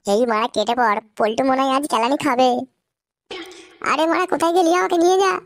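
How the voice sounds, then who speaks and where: A woman voicing an excitable, crude-mouthed young village boy in a cartoon comedy. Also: high voice, fast. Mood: panicky. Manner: crude, slangy, animated banter. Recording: music playing behind the voice.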